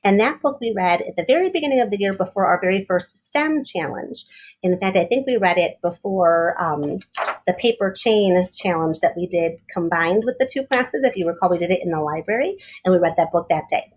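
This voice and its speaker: A woman reading a children's picture book aloud expressively, like a teacher who pauses to explain things and put questions to young listeners. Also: medium pitch, lively intonation.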